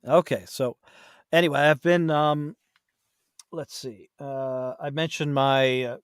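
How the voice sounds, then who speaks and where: A man's voice: mid-range voice, steady tone, takes it slowly, clean tone, no room sound, studio quality. A man chatting casually as a podcast guest, rambling and self-deprecating, often trailing off mid-thought. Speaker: podcast guest, audiobook narrator.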